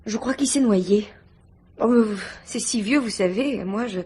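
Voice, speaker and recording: A woman's voice: medium pitch, unhurried, dark tone, breathy edge. An actress playing a nervous, gossipy housemaid in her thirties in a French radio mystery, hesitant and confiding. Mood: flustered. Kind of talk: French radio play acting.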